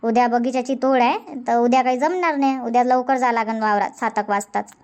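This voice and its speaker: Busy, folksy village auntie for cartoon comedy, played by a woman: high-pitched, talkative, repetitive, Varhadi Marathi accent. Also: fairly quick, darkish tone, lightly acted. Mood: explaining. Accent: Varhadi Marathi from Vidarbha.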